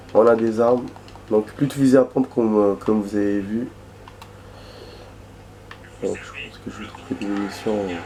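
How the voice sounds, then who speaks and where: A man commenting on a video game while hoarse from a cold, rambling chattily and swearing in surprise at the action. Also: mid-range voice. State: hoarse from a cold, losing his voice. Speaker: gaming video host. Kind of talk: casual let's-play commentary. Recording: background noise and game sounds.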